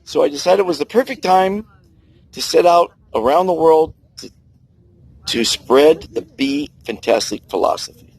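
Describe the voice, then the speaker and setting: Older man, 60s, gravelly husky voice, animated and preachy vlogger speaking outdoors by the sea. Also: rough recording. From United States. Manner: earnest, preachy, emphatic with big pitch swings. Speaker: kindness vlogger. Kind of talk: outdoor personal monologue.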